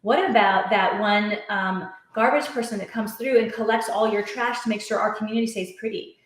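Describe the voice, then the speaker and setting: A middle-aged woman talks with earnest conviction, spinning long run-on sentences as she explains her views. Her voice sits at an ordinary pitch for a woman. The room around her is noticeably echoey. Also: fairly even intonation, a little fast. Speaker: author and education coach. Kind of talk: podcast interview.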